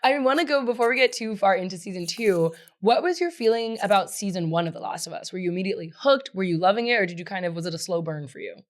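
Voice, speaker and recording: A woman's voice: mid-range voice, animated, fast, few pauses. A woman co-hosting a podcast with casual, teasing banter and dramatic comic emphasis. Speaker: podcast co-host.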